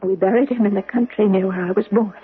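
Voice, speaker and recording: An actress playing a naive, warm-hearted young artists' model in an earnest, emotive 1970s radio drama style. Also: female voice, medium pitch, steady tone, fast. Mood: grieving, wistful. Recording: muffled, husky-sounding old radio recording.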